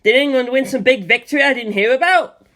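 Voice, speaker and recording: A woman voicing an excitable male engineer and former pilot. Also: high-pitched, a little fast, pitched above the performer's own voice. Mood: excited. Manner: excited, loud, panicky.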